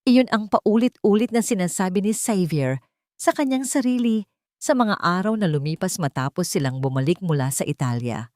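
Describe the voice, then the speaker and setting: A woman with a Filipino accent narrates a scripted dramatic love story in a measured, expressive way. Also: mid-range voice, dry recording, studio quality. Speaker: narrator of romance stories.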